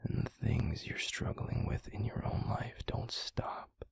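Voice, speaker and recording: A man playing a tender, protective werewolf boyfriend in an intimate roleplay audio drama, speaking in a hushed, breathy, pleading way. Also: slow, no room sound, in a whisper, studio quality, lightly acted. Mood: tender, reassuring. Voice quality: breathy, gravelly, husky low voice. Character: tender, protective, stubbornly caring adult male werewolf boyfriend.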